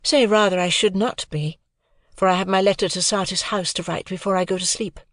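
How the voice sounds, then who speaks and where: A woman's voice: medium pitch, steady tone, a little fast, fairly bright tone, no room sound, studio quality, pitched above the performer's own voice, lightly acted. A woman voicing a proud, elegant young society beauty in an audiobook, with cool, careless disdain. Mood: cool indifference. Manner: detached, careless, teasing disdain.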